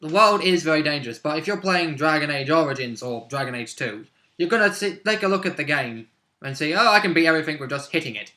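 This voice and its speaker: A man with a bright voice pitched a little high chats as a tabletop role-playing player. He recounts his game casually and is given to chuckling as he talks. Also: lively intonation, fast. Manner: chuckles often while talking. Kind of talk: casual chat recapping a game.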